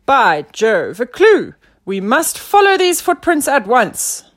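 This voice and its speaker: A pompous male animal inspector from a children's storybook played by a woman. He blusters and exclaims, bumbling along with very animated swings in pitch. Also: unhurried. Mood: excited.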